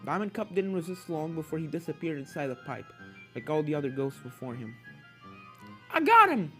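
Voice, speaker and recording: Woman narrating over soft music. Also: low-pitched, fairly even intonation, brisk. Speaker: fan fiction narrator. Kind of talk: scripted story narration. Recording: game music under the voice.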